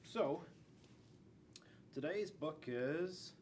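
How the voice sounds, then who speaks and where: A man's voice: a little high, animated, drawn-out words, darkish tone. A man in his forties reading a storybook to young children, with playful, chatty asides. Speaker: school resource officer reading to children. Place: school classroom. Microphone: camera a few feet away. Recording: distant mic, faint room noise.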